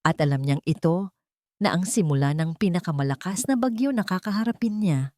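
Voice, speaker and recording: A woman's voice: fairly low pitch, expressive, brisk, dark tone, no echo, studio quality. A woman narrating a scripted dramatic story.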